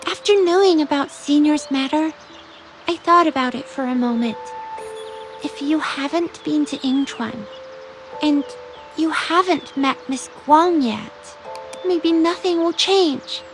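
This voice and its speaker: A woman's voice: high-pitched, unhurried, music in the background. A woman playing a sweet, caring young girl companion in a fantasy game, polite and a little hesitant. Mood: wistful. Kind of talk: dubbed fantasy game cutscene acting.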